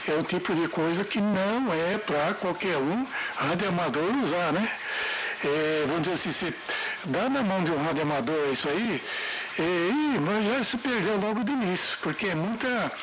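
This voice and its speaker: A noisy, muffled radio transmission carries a Brazilian man with a high-pitched voice. He leads an amateur radio round in casual, rambling talk, circling back over his own words. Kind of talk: casual ham radio chat.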